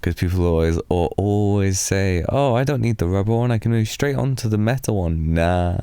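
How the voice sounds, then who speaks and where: A British man softly rambling in an improvised ASMR boyfriend roleplay, telling a drowsy, meandering story to lull a listener to sleep. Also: fairly low pitch, lively intonation, clear, resonant tone, dry recording. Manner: soft, slow, drowsy storytelling.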